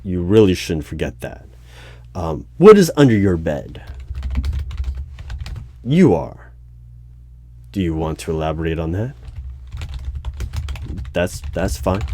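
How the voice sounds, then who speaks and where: A man playing a dry, unflappable hiring manager in a one-sided ASMR roleplay job interview, asking measured, halting questions. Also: low-pitched, warm tone, slight background noise, heavily acted. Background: computer keyboard typing and clicks.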